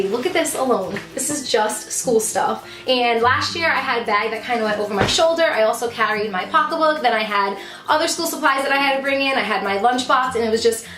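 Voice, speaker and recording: High-voiced, slightly hoarse woman vlogger. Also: expressive, a little fast, a bit bright, reverberant, soft background music. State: losing voice from talking. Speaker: vlogger, fifth grade teacher. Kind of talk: casual chatty product show-and-tell to camera.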